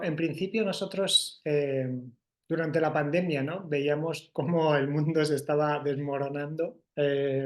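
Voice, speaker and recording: A man with a Spanish accent from Spain, an event organizer answering interview questions in a reflective way, often tagging his sentences with a questioning "no". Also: on the high side. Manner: reflective, frequent "¿no?" tags and restarts. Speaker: festival organizer.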